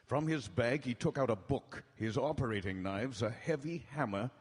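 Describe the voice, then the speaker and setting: A grave doctor telling a dark tale in old-time radio drama style. His phrasing is measured and dramatic, his voice husky, with the long reverb of a live hall. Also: mid-range voice, animated. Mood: grave dread. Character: grave doctor recounting vampire horrors from his journal. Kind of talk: live radio drama narration in 1930s-40s manner.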